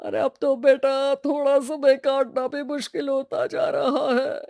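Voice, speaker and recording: A woman's voice: high-pitched, flat delivery, unhurried, darkish tone, dry recording, clean studio sound. A woman voicing a poor, worried elderly village father in a cartoon, with plaintive, colloquial delivery. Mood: distressed. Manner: plaintive, lamenting. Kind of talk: Hindi moral-story cartoon dialogue.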